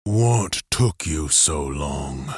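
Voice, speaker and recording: A male narrator voicing a huge, older armored warrior with a deep, measured voice. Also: animated, husky, no echo, studio quality, full character voice. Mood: stern.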